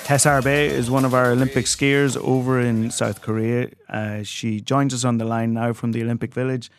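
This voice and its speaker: A man hosting a radio sports show from the studio, bantering easily and asking quick questions. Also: mid-range voice, little pitch movement, soft background music. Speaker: radio host.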